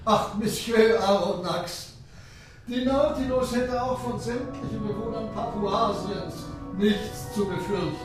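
An actor playing an imperious, unshakeable submarine captain in a radio drama, speaking with formal, mocking calm. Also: male voice, high-pitched, a little slow. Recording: echoey, distant, with music behind.

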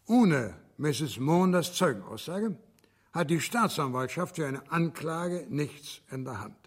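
An actor playing a stern English judge in a radio drama, delivering a ruling in a formal, deliberate, authoritative manner. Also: male voice, on the high side, animated, breathy edge. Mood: grave, disapproving.

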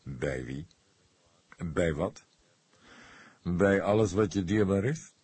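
A man voicing an old police detective in an audiobook, weary and thoughtful, speaking in a measured, deliberate way with gentle sarcasm. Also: deep voice, lively intonation, breathy edge, no echo, heavily acted. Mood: probing, sarcastic. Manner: slow, measured, deliberate, soft-spoken.

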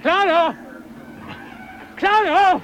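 A man's voice: very high voice, very slow, a bit bright, slight background noise, heavily acted, low-quality recording. An actor playing a dogged male expedition adventurer in a radio play, calling out in short, repeated phrases. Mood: urgent, businesslike.

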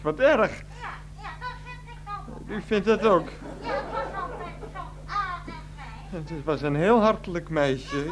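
An actor playing an exasperated adult son in a radio play, pleading and placating on the phone with his mother. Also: high voice. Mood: shocked, tearful. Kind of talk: radio play acting, one-sided phone conversation.

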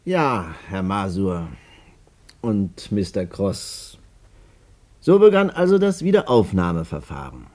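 An actor playing a wry, colloquial witness who emphatically recounts a case in a radio drama. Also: male voice, low-pitched, sing-song, slow pace, warm tone, full character voice. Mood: rueful, narrating. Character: animated male witness recounting a tangled fraud case.

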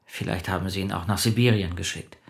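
Gravelly, withdrawn shopkeeper under suspicion. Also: male voice, sits low, steady tone, quick pace. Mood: reserved, sad. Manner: hesitant, defensive, terse. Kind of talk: naturalistic German radio play acting.

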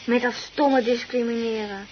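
Female actor, cheeky scolding youngster, high voice, radio play, dull sound, faint noise. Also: fairly even intonation, normal speed, lightly acted. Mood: reproachful. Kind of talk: comedic radio play acting.

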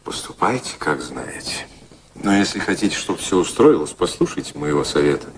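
An actor playing a worldly, cynical gentleman in a Russian radio drama, ironic, persuasive and theatrical in manner. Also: low voice, big pitch swings, brisk, slight background noise.

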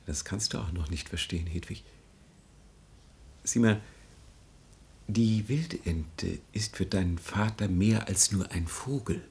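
An actor playing a solemn, earnest idealist in a radio play, grave, deliberate and quietly insistent. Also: male voice, sits low, vocal fry. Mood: grave, coaxing.

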